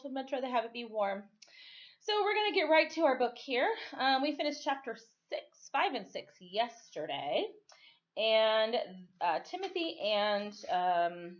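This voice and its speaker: A woman reading a story aloud for children as a storytime teacher, expressive and informal. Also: on the high side, muffled recording.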